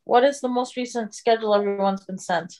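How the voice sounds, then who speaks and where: A woman's voice: a little high, fairly even intonation, a little fast, a little mellow. A woman hosting an online math session who chats and introduces the speaker, with frequent restarts. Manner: chatty, laughs easily, restarts. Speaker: session host. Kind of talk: casual chat and speaker introduction. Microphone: video call.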